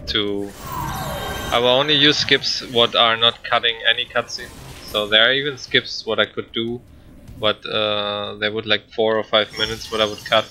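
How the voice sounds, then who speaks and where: Low, very bright-voiced man commentating casually. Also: fairly even intonation, moderate pace, a little hiss or hum, rough recording. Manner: hesitant, rambling non-native English phrasing. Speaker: speedrunner commentator.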